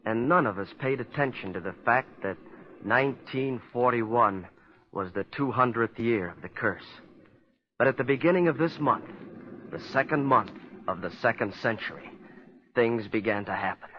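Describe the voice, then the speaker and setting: A man's voice: mid-range voice, animated, close to an everyday voice. An actor playing a haunted man who tells of his family curse, earnest and dramatic in 1940s radio style. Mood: grave, recounting. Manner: earnest, dramatic, deliberate storytelling. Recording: muffled old radio recording with music.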